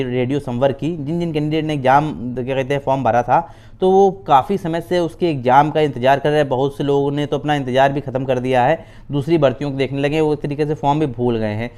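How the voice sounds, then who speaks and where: A man's voice: on the high side, a little mellow, smooth. A man. He explains things informally, like a video host updating candidates. He circles back over phrases and leans on fillers and little check-in tags. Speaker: YouTube host giving exam updates.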